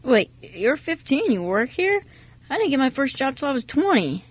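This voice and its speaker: A woman playing an awkward, kindly mechanic in his thirties in an amateur radio drama, casual and hesitant, with a husky voice. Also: high voice, big pitch swings, normal speed. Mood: mild, wistful. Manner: hesitant, self-effacing, casual. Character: awkward, kindly thirty-four-year-old male mechanic.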